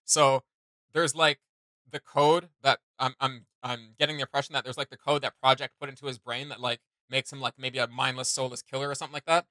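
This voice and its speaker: Bright-voiced man rambling in casual reaction commentary. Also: medium pitch, flat delivery, brisk, dry recording. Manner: rambling, emphatic slang. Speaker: reaction channel host. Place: small indoor home studio room.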